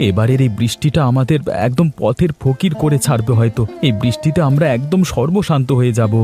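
A man's voice: mid-range voice, fairly quick, mellow, close to an everyday voice. A man voicing a deferential younger brother, a village farmer, in a cartoon, with music behind him. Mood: worried. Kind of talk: cartoon dialogue acting. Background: background music.

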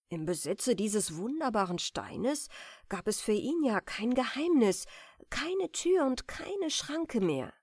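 A woman narrating a fairy tale as a bedtime audiobook, reading softly and soothingly with deliberate care. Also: fairly high pitch, slightly rough, no room sound, studio quality. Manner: soft, soothing, deliberate storytelling.

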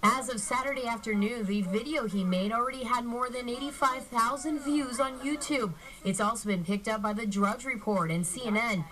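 A woman's voice: on the high side, moderate pace, a bit bright, slight background noise, low-quality recording. An American woman working as a television news reporter, delivering a scripted report.

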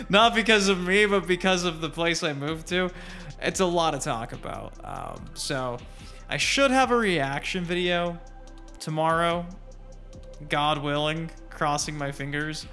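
A man's voice: a little high, lively intonation, a little slow, pushed and bright. A man hosting a gaming channel, giving informal, rambling commentary on a gameplay trailer and talking straight to viewers. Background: music.

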